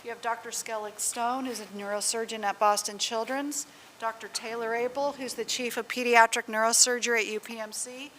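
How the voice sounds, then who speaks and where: Excitable higher-voiced woman moderator. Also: brisk. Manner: loud, excitable, enthusiastic. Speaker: session moderator. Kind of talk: live event moderating. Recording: echoey hall, faint noise.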